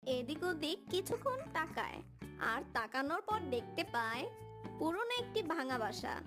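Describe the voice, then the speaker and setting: Female narrator for children's stories: very high, animated delivery over clear background music. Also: normal speed. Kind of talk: scripted children's story narration.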